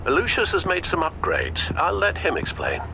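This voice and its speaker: An actor voicing a calm, dutiful male assistant on a comms line in a video game. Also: a little high, moderate pace, vocal fry, exaggerated voice. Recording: game audio with background noise.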